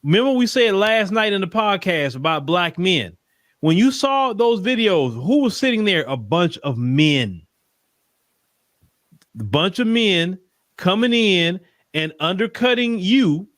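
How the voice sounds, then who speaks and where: A man's voice: sits high, very animated, slow, fairly bright tone, dry recording, clean studio sound. A man giving explanatory live commentary in short, emphatic phrases.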